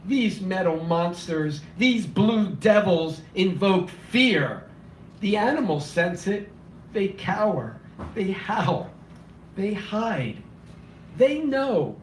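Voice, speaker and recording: A man reading his spoken word poetry at a cafe open mic, impassioned and declamatory, his voice slightly hoarse and weak. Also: high-pitched, slight background noise. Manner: impassioned, declamatory, rhythmic repetition. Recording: echoey room, voice from far off.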